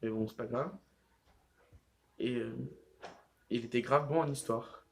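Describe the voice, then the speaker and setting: A man's voice: medium pitch, measured pace, vocal fry, slight background noise. A teenage boy talks casually to his viewers from home, rambling through his thoughts with many fillers and restarts. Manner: rambling, heavy use of fillers like "genre" and "euh". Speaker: vlogger. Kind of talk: casual vlog chat.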